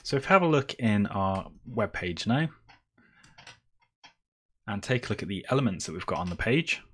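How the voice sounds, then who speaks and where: A man's voice: on the low side, sing-song, normal speed, a bit bright, slightly rough. A man presenting a screencast tutorial, explaining step by step in a semi-improvised way as he works. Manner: step-by-step, explaining while typing.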